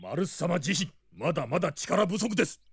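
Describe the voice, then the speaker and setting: A man's voice: on the high side, very animated, fairly quick, vocal fry, slightly rough, dry recording, heavily acted. A male actor playing a stern, cautious old knight and mentor with a formal, old-fashioned manner in a Japanese drama CD. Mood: cautioning.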